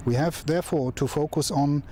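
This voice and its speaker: A man speaking formally and in a measured way as an official giving an interview statement. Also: fairly high pitch, warm tone. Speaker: aviation safety official. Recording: faint background noise.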